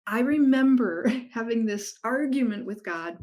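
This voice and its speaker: Lively, higher-voiced woman author. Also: a little slow. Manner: enthusiastic, animated storyteller. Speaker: guest author. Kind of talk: casual interview chat.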